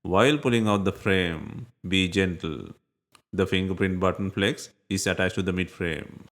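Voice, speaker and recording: A man reading scripted step-by-step repair instructions in a measured, plainly instructive way. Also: deep voice, a bit bright. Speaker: repair tutorial narrator.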